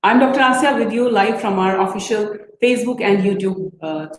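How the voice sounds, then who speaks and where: A woman doctor hosts a live medical interview at a mid pitch. She asks her questions with fillers and repeated phrases. The room sounds echoey, with a faint hiss underneath. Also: a little fast. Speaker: host and general practitioner.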